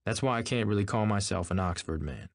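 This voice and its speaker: A man's voice: fairly low pitch, expressive, brisk, dry recording. A man voicing an earnest, self-assured suitor with a polite, insistent manner in an audiobook. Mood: polite, controlled. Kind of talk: audiobook character acting.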